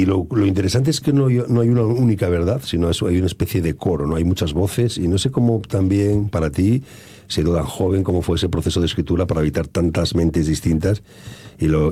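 Deep dark-voiced male radio host. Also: slightly rough, no echo. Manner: measured, long winding questions. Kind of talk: radio interview.

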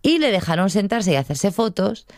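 A woman's voice: medium pitch, big pitch swings, normal speed, a little mellow, dry recording, studio quality. A middle-aged woman with an accent from Spain, talking informally and digressively about books as a show contributor. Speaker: book segment contributor on a show. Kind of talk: conversational book review.

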